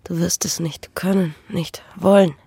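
A woman's voice: lowish voice, fairly even intonation, measured pace, creaky, mellow, husky, dry recording, full character voice. An actress playing a dying young peasant woman in a radio play, sorrowful and bitter, with a weak, whispery voice and solemn delivery. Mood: bitter, resigned. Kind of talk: German radio play acting.

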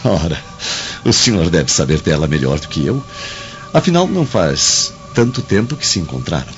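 A man's voice: low-pitched, very animated, moderate pace. A man playing a resentful, insinuating guardian of ancient times in a radio drama. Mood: sly, insinuating. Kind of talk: radio drama acting in Portuguese. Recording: muffled radio drama under loud music.

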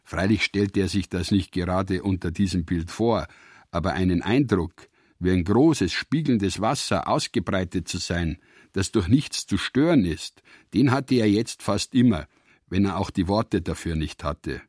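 A man's voice: low-pitched, unhurried, darkish tone, breathy edge, dry recording, studio quality. A man reading scripted literary prose as the narrator of a radio production.

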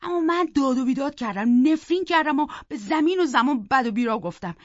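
A woman plays a defiant young orphan boy in an audiobook, telling his tale with vehement, cursing emphasis. The voice sits fairly high, in a dry recording with slightly dull sound. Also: lively intonation, no echo. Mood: agitated, fierce. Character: hot-tempered, defiant orphan boy recounting an escapade. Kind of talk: dramatic audiobook character narration.

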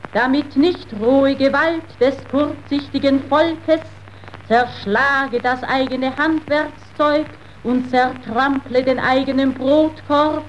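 A woman acting a solemn, fervent young missionary leader in a radio play, preaching in deliberate verse. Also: high voice. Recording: muffled, faintly noisy old radio recording.

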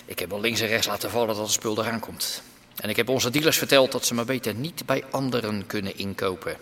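An actor playing a calmly businesslike, menacing drug boss in a naturalistic radio drama, speaking in a terse, understated way. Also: male voice, lowish voice, quick pace. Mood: cool, menacing.

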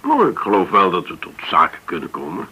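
A man's voice: low voice, huge pitch swings, brisk, bright, forceful tone, husky, full character voice, low-quality recording. A man acting in a radio play as a guarded, polite businessman who hesitates and asks probing questions.

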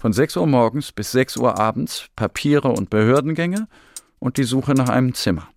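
A man acting in a radio drama as a depressed Syrian exile, recounting refugee life in a creaky, measured, matter-of-fact way. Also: medium pitch, close to an everyday voice. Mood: sober, reflective. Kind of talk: scripted radio drama monologue.

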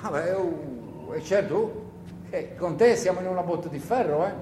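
A man performs a grumbling, sardonic partisan in a radio play. The voice is high for a man, rambling and teasing, full of needling questions. It rings in a roomy, echoing space with a faint layer of noise. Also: measured pace, dark tone. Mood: mocking. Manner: rambling, mock-rhetorical, needling listeners with questions. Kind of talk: theatrical radio play monologue.

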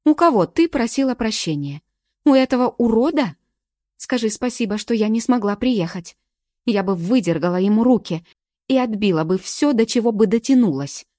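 Woman voicing a fierce young storybook witch, forceful, dry close sound. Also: fairly low pitch, moderate pace, slightly rough, no room sound. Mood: furious. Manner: forceful, indignant.

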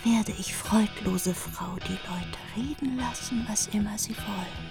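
A woman playing a proud, grieving widowed queen with a solemn, measured delivery in a radio play. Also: mid-range voice, natural voice. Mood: grim, determined. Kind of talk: German radio play acting. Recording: music behind the voice. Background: music.

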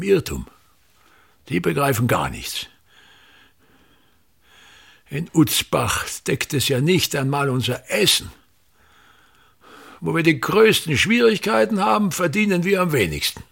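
A man's voice: medium pitch, gravelly, a bit bright, husky, heavily acted. An actor in a radio drama playing a pompous, domineering older theatre director and father who delivers grandiose, pedantic, repetitive monologues. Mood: bitter, contemptuous.

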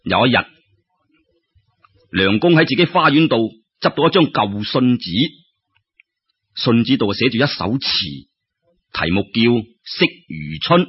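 A man with a Cantonese accent telling a story in an expressive, colloquial way, with exclamations and rhetorical questions. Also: medium pitch, unhurried, pauses often, breathy edge. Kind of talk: animated traditional Cantonese storytelling narration. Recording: dull lo-fi audio.

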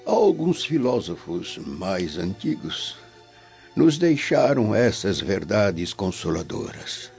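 A man's voice: lowish voice, sing-song, takes it slowly, gravelly, darkish tone, heavily acted. An actor playing a dying, philosophical old Roman father in a Brazilian radio drama, grave and tender, declaiming solemnly. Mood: solemn, consoling. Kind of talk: dramatic radio play acting. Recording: dull lo-fi radio drama, music behind.

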